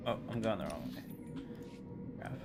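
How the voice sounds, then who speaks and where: A man's voice: on the low side, moderate pace, vocal fry, over loud music. A man chatting casually with viewers as he livestreams and comments on his gameplay. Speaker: game livestreamer. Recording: steady noise behind the voice.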